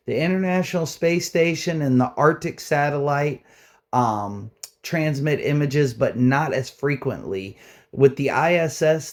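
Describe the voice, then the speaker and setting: A man's voice: mid-range voice, a little mellow. A man hosting a hobby tutorial, talking informally and conversationally as he explains things step by step. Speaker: tutorial host, radio hobbyist. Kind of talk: casual how-to walkthrough.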